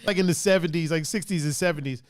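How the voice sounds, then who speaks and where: A man's voice: a little high, quick pace, no room sound. A man from Brazil hosting a casual chat over a video call, loose and joking with profane banter. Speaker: podcast host.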